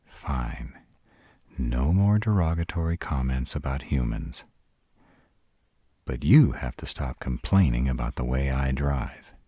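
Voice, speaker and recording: Very deep, husky sardonic vampire played by a man. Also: animated, mellow, no echo, full character voice. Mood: grumbling, sardonic. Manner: sardonic, exasperated, halting when vulnerable. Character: sarcastic brooding male vampire, proud, guarded, secretly smitten. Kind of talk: intimate roleplay audio drama.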